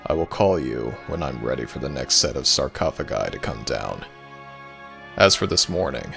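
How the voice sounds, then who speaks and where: An ancient death god with an extremely low, creaking voice over clear background music. He is stern and weary, speaking in formal, measured commands for an audio roleplay. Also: pushed and bright, exaggerated voice. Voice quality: gravelly. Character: Anubis, stern, weary male god of the dead. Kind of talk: ASMR audio roleplay acting.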